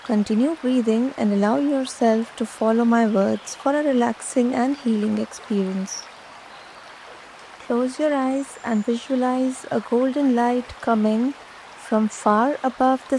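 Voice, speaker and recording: Slow, mellow woman guiding meditation. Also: fairly high pitch, a little hiss or hum, low-quality recording. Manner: soothing, measured instructions with long pauses. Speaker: guided meditation instructor. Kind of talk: slow guided meditation narration.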